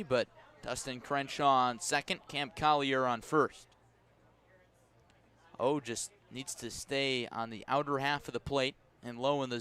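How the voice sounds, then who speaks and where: An American man doing live baseball play-by-play, measured and descriptive between pitches and emphatic on the action, speaking from a ballpark press box. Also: fairly bright tone. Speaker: baseball play-by-play radio announcer. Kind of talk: live sports commentary.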